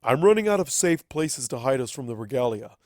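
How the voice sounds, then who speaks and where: A man voicing a weary, resolute wizard pony who is a father, speaking gravely and firmly in a story reading. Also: mid-range voice, big pitch swings, fairly quick, no echo, studio quality, lightly acted. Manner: grave, firm.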